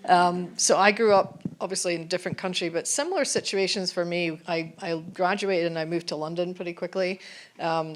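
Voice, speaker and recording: A woman, a corporate executive, speaking earnestly on a festival panel. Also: lowish voice. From Scotland. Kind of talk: panel discussion.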